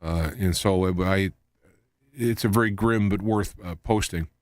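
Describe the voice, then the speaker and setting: A man hosting a live talk show, rambling in a halting way as he wanders from point to point. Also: low voice, dry recording, clean studio sound. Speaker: radio show host.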